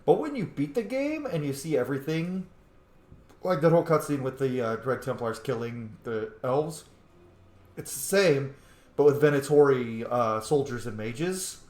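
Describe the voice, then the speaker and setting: A man's voice: medium pitch, expressive, normal speed, darkish tone. A man giving casual, informal let's play commentary as a channel host, reacting wryly aloud. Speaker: video game streamer, channel host. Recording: echoey room, faint game noise.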